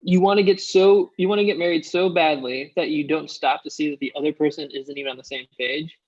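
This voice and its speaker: An actor as a husky, skeptical male friend. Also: on the high side, fairly even intonation, fairly quick, darkish tone, dull audio, lightly acted. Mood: wry. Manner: questioning, wry. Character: skeptical, blunt, nitpicking friend of the son. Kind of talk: comedy script table read.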